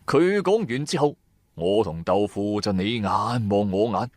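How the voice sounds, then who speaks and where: A man's voice: on the low side, lively intonation, unhurried, no room sound, clean studio sound. A man with a Cantonese accent narrating a supernatural radio drama in the first person, dramatic and colloquial.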